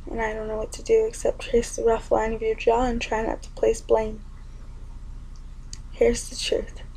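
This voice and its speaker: A young woman performing spoken word poetry in an intimate, often whispered delivery with a gravelly edge. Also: on the high side, warm tone, slight background noise, poor audio.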